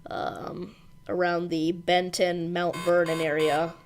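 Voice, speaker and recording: Brisk woman reading storm warnings live. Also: lowish voice, level tone, a little hiss or hum. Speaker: weather livestream host. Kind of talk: live severe weather coverage.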